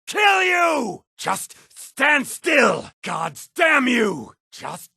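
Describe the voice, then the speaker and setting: An excitable, high-pitched fantasy townsperson. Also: extremely slow, gravelly, breathy edge, dry recording, raised voice, clean studio sound, heavily acted. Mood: furious. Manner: urgent, strained, melodramatic. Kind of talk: video game combat and alarm barks.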